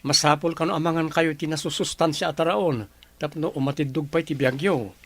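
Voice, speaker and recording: Radio drama young grown grandson, slightly high voice, obedient yet questioning. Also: male voice, brisk.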